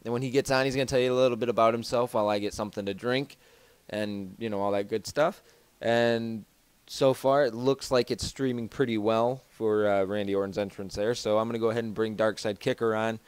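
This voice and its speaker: A man's voice: fairly low pitch, steady tone, a little fast, clean tone, no room sound. A man hosting a casual podcast from home over a voice call, rambling with lots of fillers and restarts. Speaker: podcast host.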